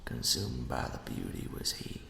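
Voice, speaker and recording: A man narrating a ghost story as ASMR in a hushed, slow, dramatic whisper with a breathy, gravelly edge. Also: rumbling low, sing-song, background noise. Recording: echoey, long cavernous reverb.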